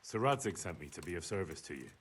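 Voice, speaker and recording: A young medieval squire in a video game, earnest and deferential. His lowish voice is husky, with lively intonation and a polite, questioning manner. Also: fast, heavily acted. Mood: helpful, inquiring. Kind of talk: scripted video game dialogue.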